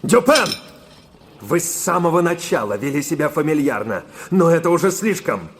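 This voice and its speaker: A man's voice: fairly high pitch, big pitch swings, moderate pace. A man playing an indignant scheming doctor. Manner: indignant, affronted. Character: haughty scheming male family doctor. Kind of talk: radio play acting.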